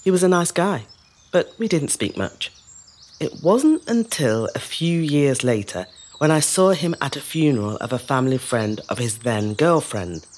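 A woman playing a resilient middle-aged woman telling her life story in a measured, reflective dramatic monologue. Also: low voice, big pitch swings, darkish tone, a little hiss or hum. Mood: fond, nostalgic. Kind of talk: scripted dramatic monologue, first-person storytelling.

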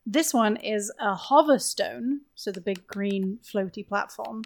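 A woman chatting casually on a gaming podcast, telling stories in an animated way and acting out quotes. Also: medium pitch, a little slow, creaky. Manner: animated storytelling with acted-out quotes.

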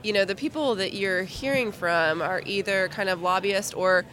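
A woman's voice: medium pitch, normal speed, fairly bright tone. Woman interviewer, friendly and affirming, mid-range voice, thoughtful questions.

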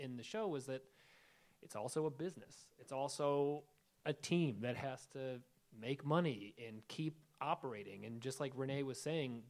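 A man with a mid-pitched voice answers a question on a panel stage. He speaks loosely and casually, circling back as he builds his point. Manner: relaxed, loose, many fillers like "like". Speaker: TV writer and director on a panel. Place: event stage before an audience.

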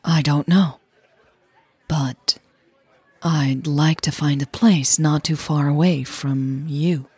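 A woman who is a native Dutch speaker, voicing a caring, guarded, gently wry woman in love in an audio story. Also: deep voice, fairly even intonation, slow pace, breathy edge, no room sound, some chatter behind, full character voice. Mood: gentle, honest.

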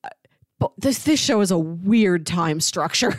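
Lively-voiced woman of thirty, close and dry. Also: on the low side, unhurried, no room sound. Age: 30s. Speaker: podcast co-host. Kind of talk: casual chat reviewing a drama series.

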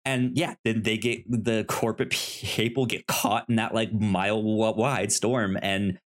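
Slow, halting man talking casually. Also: fairly low pitch, fairly even intonation. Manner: halting, stammering with repeated word starts. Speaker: podcast co-host. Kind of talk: casual film discussion.

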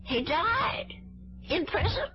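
A fretful, earnest landlady in a vintage radio drama. Her high voice rises and falls widely, hesitant and polite. The old recording is dull, with music in it. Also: big pitch swings, takes it slowly, exaggerated voice. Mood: troubled, insistent. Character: anxious, earnest, hesitant landlady troubled by conscience.

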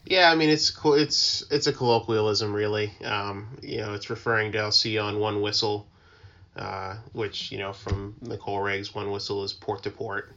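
A man explaining things as an interview guest in an informal, halting, conversational way. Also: fairly low pitch, steady tone, sharp tone, highs cut off. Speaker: interview guest, working tugboat mariner.

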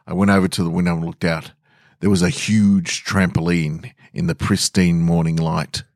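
A man's voice: deep voice, flat delivery, normal speed, no room sound, studio quality. An Australian man hosting a podcast, reading short scripted stories and talking to listeners. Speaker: podcast host and story reader. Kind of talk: scripted narration of short stories with casual host remarks.

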